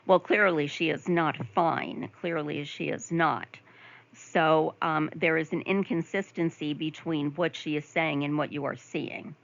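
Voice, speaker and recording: A woman instructor giving a conversational recorded lecture over slides, with frequent ums, asides, and throat clearing. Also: low voice, fairly even intonation, unhurried, highs cut off. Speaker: nursing instructor.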